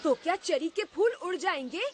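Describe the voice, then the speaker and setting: A woman's voice: very high-pitched, lively intonation, a little fast, a little hiss or hum. A woman voicing an eager, pleading young schoolboy in a cartoon dub, with a whiny, emphatic delivery. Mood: dismayed. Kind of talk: Hindi cartoon dub acting.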